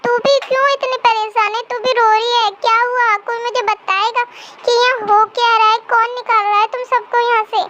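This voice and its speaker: A woman's voice: very high-pitched, little pitch movement, fairly quick, bright, forceful tone, highs cut off, a little hiss or hum. A woman playing a caring, defiant young woman in an amateur melodramatic audio story. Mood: worried, determined.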